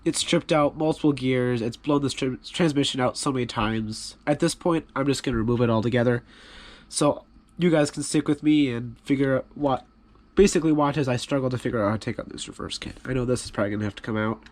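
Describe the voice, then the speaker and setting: A man's voice: mid-range voice, fast talker. Stuffy-voiced man, casual repair talk. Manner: relaxed, rambling, self-deprecating. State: stuffy from a cold. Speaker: hobby channel host.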